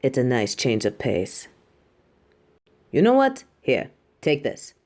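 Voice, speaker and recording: Woman acting a female superhero tailor, proud, exacting, fond, grandiose manner, animated pitch, roleplay audio monologue. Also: slow, heavily acted. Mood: resigned, fond. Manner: grandiose, dramatic, addresses listener as darling.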